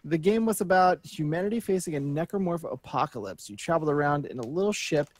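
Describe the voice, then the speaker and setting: A man's voice: on the high side, lively intonation, quick pace. A man co-hosting a podcast, reading news aloud and chatting in an informal, joking way. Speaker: podcast co-host.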